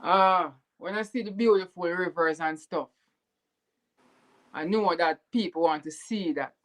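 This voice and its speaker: A man's voice: high-pitched, measured pace, fairly bright tone. A Jamaican man speaking in an earnest, heartfelt way as a guest in a casual chat, sometimes repeating words. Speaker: YouTuber guest.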